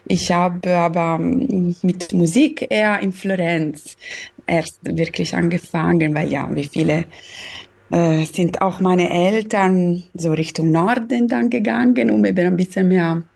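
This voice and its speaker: A pianist from Sicily, Italy, a woman whose first language is Italian, speaking haltingly with many fillers while joining an interview by video call from home. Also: on the low side, fairly even intonation, creaky, darkish tone. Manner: hesitant, searching for words, many fillers. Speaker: guest pianist. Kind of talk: casual interview chat.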